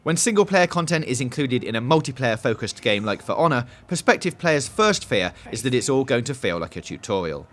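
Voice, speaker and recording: A man's voice: medium pitch, big pitch swings, fairly quick, few pauses, a bit bright, faint music behind. A man reading a scripted video game preview voice-over in a polished, emphatic presenter style.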